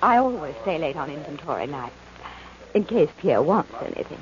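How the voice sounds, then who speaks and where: Icy secretary. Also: female voice, sits low, sing-song, normal speed. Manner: cold, clipped. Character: icy, prim, snippy female secretary. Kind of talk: 1940s American radio drama acting. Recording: muffled, noisy old radio recording.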